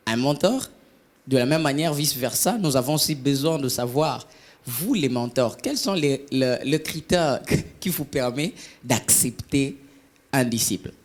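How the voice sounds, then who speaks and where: A man leading a church discussion on mentoring, asking questions and adding examples in a hesitant way with many fillers. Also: mid-range voice. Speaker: interviewer in a church talk.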